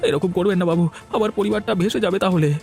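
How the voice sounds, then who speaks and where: A man as a quick-talking, wronged cartoon worker. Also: high voice, gravelly, darkish tone. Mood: pleading, hurt. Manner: pleading, aggrieved, earnest. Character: wronged, honest, humble male shop worker. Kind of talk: animated cartoon dialogue. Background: music.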